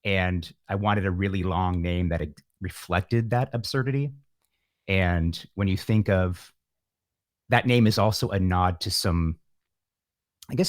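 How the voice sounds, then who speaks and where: Male interview guest, very low voice, dry close sound, reflective and rambling, conversational. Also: no room sound. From San Francisco Bay Area, California. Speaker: guest, musician. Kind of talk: casual interview talk. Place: home setup, remote call.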